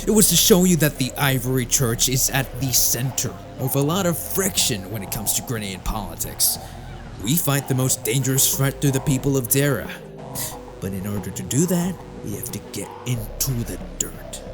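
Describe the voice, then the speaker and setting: Music plays strongly behind a hardened male exorcist voiced by an actor. He is a stern warrior mentor with an average-pitched voice, lecturing bluntly and with weight, as in a fantasy audio drama. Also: lively intonation, normal speed, close to an everyday voice. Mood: stern, grave.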